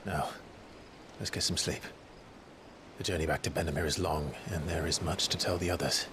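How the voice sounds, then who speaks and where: A very low male voice, a brooding warrior lord from a fantasy game. He is earnest, loyal and sparing with words, keeping a formal, low-key manner over a noisy backdrop. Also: fairly even intonation, moderate pace. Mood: tender, steadfast. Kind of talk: dramatic fantasy game acting.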